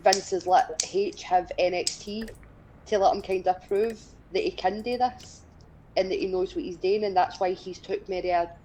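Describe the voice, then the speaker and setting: Scottish woman, twenties, mid pitch, blunt and sweary, casual gaming stream chat, faint background noise. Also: steady tone, normal speed, mellow, low-quality recording. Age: 20s. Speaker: podcast and stream co-host.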